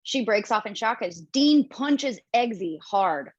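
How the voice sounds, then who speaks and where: Woman reading screenplay directions, husky voice, dull dry home mic. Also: medium pitch, expressive, no echo. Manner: brisk, casual, playful. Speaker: narrator reading stage directions. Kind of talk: table read of a screenplay.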